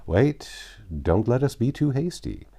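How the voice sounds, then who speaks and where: A man voicing a cautious gentleman banker in a storybook, speaking formally and deliberately. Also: mid-range voice, sing-song, pitched above the performer's own voice. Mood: cautioning. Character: cautious, measured gentleman banker at a London club.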